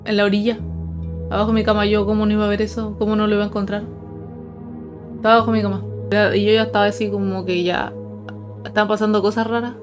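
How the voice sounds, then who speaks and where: A woman's voice: medium pitch, flat delivery, fast, long pauses, music in the background. A young adult woman telling personal stories to her viewers in a casual, conversational, explanatory way. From Chile. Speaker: paranormal storytelling video host.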